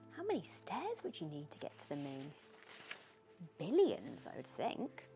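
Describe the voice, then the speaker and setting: A woman reads a children's bedtime story aloud in an expressive, gentle storytelling manner. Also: fairly low pitch. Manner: expressive, gentle storytelling with questions posed to the listener. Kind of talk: bedtime story read aloud with short asides.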